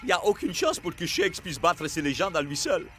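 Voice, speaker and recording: Confident young cartoon teammate, highish lively voice, reassuring, music behind. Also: male voice, a little fast. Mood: confident, resolute. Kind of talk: animated series dub acting.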